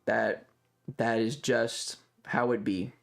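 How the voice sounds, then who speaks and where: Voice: medium pitch, flat delivery, takes it slowly, creaky, faint music behind. A streamer chatting casually to a live audience in a rambling, opinionated, emphatic way with plenty of swearing. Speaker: livestreamer. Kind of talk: casual chat to a livestream audience.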